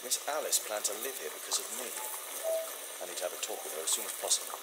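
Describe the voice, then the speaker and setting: An actor playing a shrewd, self-assured Victorian detective with a British accent, clipped and commanding. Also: male voice, sits low, expressive, fast talker, loud music behind the voice, poor audio. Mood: intent, musing. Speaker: lead detective character. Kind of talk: dramatic game voice acting, thinking aloud.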